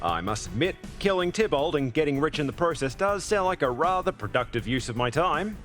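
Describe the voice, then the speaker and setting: A roguish male space captain from a video game. His mid-pitched voice swings in lively, glib arcs, wry and flirtatious, with music clearly playing behind him. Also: a little fast. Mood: wry, bargaining.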